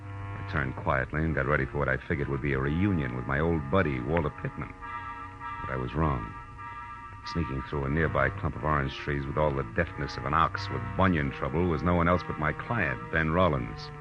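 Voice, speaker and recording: An actor playing a hard-boiled, wisecracking American private detective in a 1940s radio crime drama, with dry, slangy delivery. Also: male voice, rumbling low, fairly quick. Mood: wry narrating. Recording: dull old radio recording with music.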